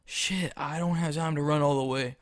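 A man playing a rough but soft-hearted drug dealer in an audio drama, talking casually and swearing. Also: a little high, fairly even intonation, moderate pace, no room sound, clean studio sound, natural voice. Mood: hurried, grateful. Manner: casual, foul-mouthed.

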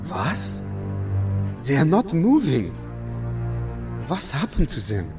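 Man acting a slow, emotional young outcast. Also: a little high, wildly swooping pitch, far from the mic, over music, exaggerated voice. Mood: bewildered. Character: frightened, bewildered young male mutant outcast, wary and pleading. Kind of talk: audio drama acting.

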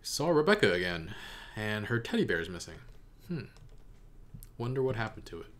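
Casting: young male reaction host, slightly deep voice, lively intonation, casual commentary. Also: normal speed, slight background noise. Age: young adult. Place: small room indoors. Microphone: desk condenser microphone.

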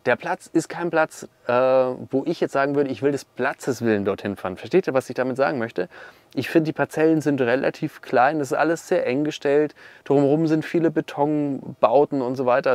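A man in his thirties reviewing a campsite in a relaxed, chatty way, talking from inside his camper van with a clip-on mic. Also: medium pitch, dry recording. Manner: chatty, animated, gesturing with hands. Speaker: camping vlogger. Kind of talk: casual review vlog with voice-over. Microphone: small clip-on mic.

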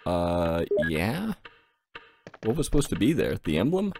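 A man's voice: sits low, wildly swooping pitch, measured pace, creaky, noisy background. A man giving casual, profane and amused commentary as he plays a video game. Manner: amused, giggly, easygoing. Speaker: game streamer, channel host. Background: game music.

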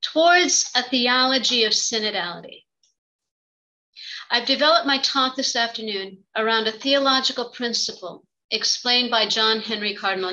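Female academic speaker, middle-aged, somewhat high pitch, crisp bright tone, measured and formal, delivering a prepared paper over video call. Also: poor audio. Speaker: theology professor and religious sister giving a talk. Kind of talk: prepared academic lecture read aloud. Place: indoor office, on a video call. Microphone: computer microphone on a video call.

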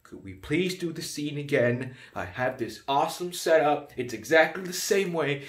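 A man performing a dramatic monologue as an unhinged failed actor, stammering and repeating himself, veering from whispers to shouts. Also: fairly high pitch, expressive, normal speed, close to an everyday voice. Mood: menacing.

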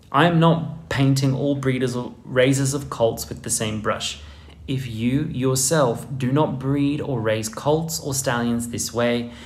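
A man, narrating a scripted video essay in an earnest, emphatic way, recorded close to the camera in a small room. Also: mid-range voice. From Netherlands. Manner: earnest, emphatic, deliberate delivery. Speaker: horse trainer presenting a video essay. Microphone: close camera framing, head and shoulders.

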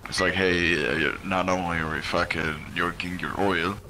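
A young man with a low, bright-edged voice, joking and swearing casually in game chat. There is faint noise and ambience behind him. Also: fairly even intonation, moderate pace. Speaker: gamer in voice chat.

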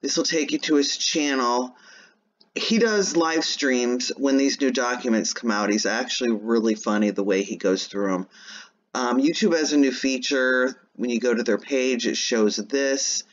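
A man's voice: medium pitch, a little slow, muffled recording. A man hosting his own channel, talking casually through a screen-share walkthrough in a chatty, rambling way. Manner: chatty and rambling, frequent "um" and "anyways". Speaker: channel host.

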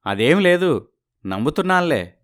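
A man's voice: fairly high pitch, very animated, moderate pace. A man playing a scheming, deceitful young husband in a dramatised story, gloating and calculating. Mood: suspicious, guarded.

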